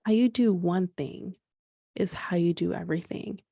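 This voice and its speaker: A woman's voice: fairly low pitch, normal speed, gravelly, mellow, husky, no echo, studio quality. A woman in her thirties hosting a solo podcast, talking things through in a rambling, reflective way with frequent restarts and fillers. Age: 30s. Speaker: podcast host.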